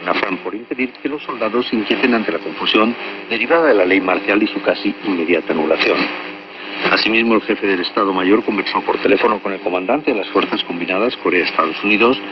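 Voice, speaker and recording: A man reading scripted radio news in a formal, measured way, heard over a shortwave broadcast. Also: lowish voice. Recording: muffled, very noisy distant shortwave radio.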